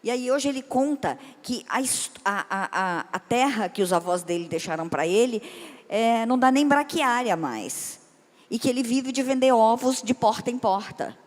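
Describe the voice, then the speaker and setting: A woman's voice: fairly high pitch, animated, moderate pace. A woman moderating a seminar panel, who talks in a chatty, enthusiastic way and often restarts her sentences. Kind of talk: casual seminar talk. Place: large event hall. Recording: reverberant hall.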